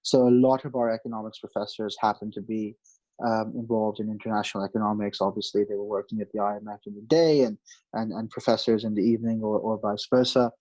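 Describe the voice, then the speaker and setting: A man's voice: on the low side, flat delivery, fast talker, darkish tone, muffled recording. A man in his late thirties from Pakistan, a market strategist answering interview questions in an explanatory way, with frequent restarts and fillers.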